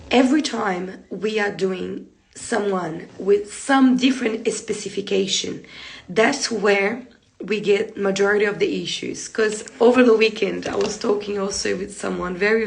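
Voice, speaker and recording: A woman in her thirties with a hoarse voice from a cold, chatting through a live hair tutorial from a small room on a close phone camera. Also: mid-range voice, rough recording. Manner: chatty, repeats points, gestures while talking. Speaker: hair treatment educator. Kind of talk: live-streamed tutorial talk. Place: small room indoors, seated at a desk. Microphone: phone camera close in front.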